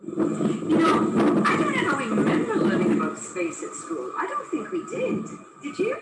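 A woman's voice: extremely high pitch, wildly swooping pitch, measured pace. Middle-aged woman on a balcony asking questions, chatty, noisy phone audio, far from the mic. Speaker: interviewer. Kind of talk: casual chat. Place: balcony outdoors. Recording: echoey, distant, noisy phone recording.

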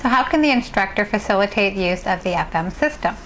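A woman professor walks through an instructional demonstration in a clear, measured manner. Her voice sits at a middle pitch and is picked up by a lapel mic. A faint hiss of noise runs underneath. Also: lively intonation, fairly bright tone, rough recording. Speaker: assistant professor. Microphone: worn lapel microphone.

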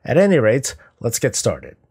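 A man's voice: mid-range voice, huge pitch swings, normal speed, a little mellow. A middle-aged man giving casual, musing reaction commentary as a video host, talking conversationally. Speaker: YouTube host reacting to game cinematics.

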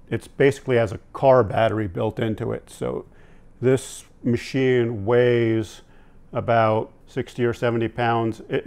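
Low-voiced older man, slightly gravelly. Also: a little mellow. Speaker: audio-visual technician and product reviewer presenting vintage tape gear. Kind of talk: informal product demonstration, unscripted explaining. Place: indoor room at home, seated at a table.

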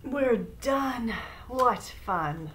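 A middle-aged woman reads a picture book aloud for children at an extremely slow pace. Her pitch moves in lively, animated swings across short phrases. Clear background noise from the room sits behind her webcam recording. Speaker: storybook reader. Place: small room at home beside an open window. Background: clear background noise, room ambience.

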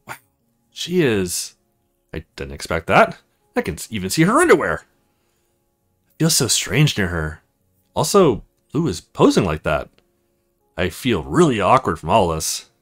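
A man's voice: fairly low pitch, huge pitch swings, a little slow, pauses often, a bit bright, soft background music, pitched below the performer's own voice, exaggerated voice. Man reading as awkward, grumbling young recruit.

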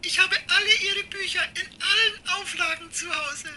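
A woman's voice: very high voice, steady tone, normal speed, bright, forceful tone, heavily acted. A woman playing a polite but stern publisher with a formal, businesslike manner in an amateur radio play. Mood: pleased, admiring. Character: polite but stern female New York publisher, admiring fan. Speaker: publisher on a phone call.

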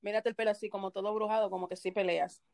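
A woman's voice: mid-range voice, level tone, fast talker, creaky, a little mellow, no room sound. A woman from the Dominican Republic, teasing playfully while chatting on a video call. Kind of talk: casual video call chat.

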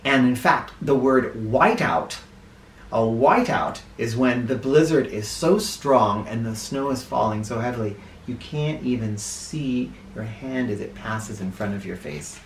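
A middle-aged American man reading aloud to children in an expressive, dramatic way, recorded in a living room. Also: mid-range voice, a little hiss or hum. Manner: expressive, dramatic, deliberate emphasis. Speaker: children's picture book reader and host. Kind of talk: read-aloud for children with spoken asides. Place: small living room, indoors. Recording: roomy, echoey living room sound.

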